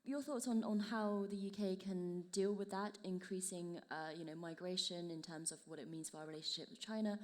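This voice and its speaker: A woman's voice: fairly low pitch, fairly even intonation, fairly quick, hardly any pauses, a little mellow, big-room sound. A woman moderating a panel discussion, asking long conversational questions.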